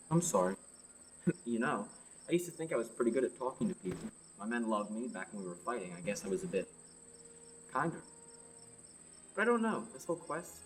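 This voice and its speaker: A young woman acting a teasing, battle-hardened young warrior on a quest in a radio play. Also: very deep voice. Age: young adult. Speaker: student actor. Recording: clear background noise, night insects.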